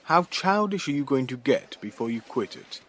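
Wry, animated leading man played by an actor. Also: medium pitch, moderate pace, close to an everyday voice. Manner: bantering, teasing. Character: wry, brash, affectionate male theatre man and sweetheart. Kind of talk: dramatic radio play acting.

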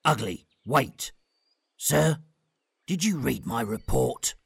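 A man playing a loyal, earnest agent in an audio drama, with a very gravelly, husky voice. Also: medium pitch, sing-song, takes it slowly, dry recording, heavily acted. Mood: earnest, respectful.

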